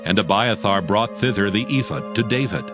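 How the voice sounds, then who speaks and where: Low-voiced solemn male scripture narrator. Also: lively intonation, music in the background. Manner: solemn, measured storytelling.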